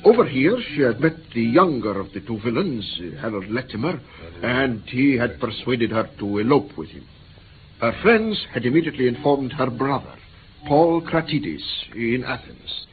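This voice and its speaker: A man's voice: mid-range voice, lively intonation, moderate pace, lightly acted. An actor playing a shaken, earnest male interpreter who tells his ordeal hesitantly in a vintage radio drama. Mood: earnest recounting. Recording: tinny, noisy vintage radio recording.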